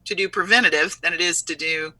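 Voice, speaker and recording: A woman running a software company, talking up her product in a casual, upbeat way during an online interview. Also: lowish voice, steady tone, fast, heavy background noise. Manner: upbeat, salesy, uses homely comparisons. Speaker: CEO of a speech software firm, guest. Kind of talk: casual interview over video.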